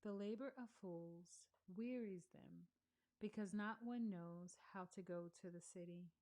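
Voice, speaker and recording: A woman giving a slow, measured read-along of scripture, pausing between phrases. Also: medium pitch, darkish tone, rough recording. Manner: measured, deliberate reading with pauses. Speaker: scripture reader.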